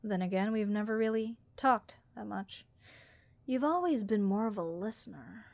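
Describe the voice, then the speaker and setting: A woman voicing a bored, snarky harpy copilot in a comedic audio roleplay, with a raspy vocal fry and overdramatic, deadpan complaints. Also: medium pitch, moderate pace, dry recording, natural voice. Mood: chatty, teasing. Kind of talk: comedic audio roleplay monologue addressed to listener.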